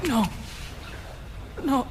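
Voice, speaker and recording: An actor playing a frightened adolescent boy captive, distressed and reluctant, with halting, broken speech in a game drama. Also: background noise. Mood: distressed refusal. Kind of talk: video game voice acting, dramatic scene.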